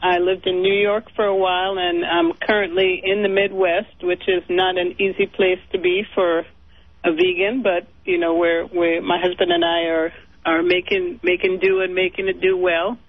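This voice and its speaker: A woman from Trinidad, a guest activist, calmly introducing herself in a radio interview over the phone. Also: fairly low pitch, moderate pace, dry recording. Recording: tinny phone line.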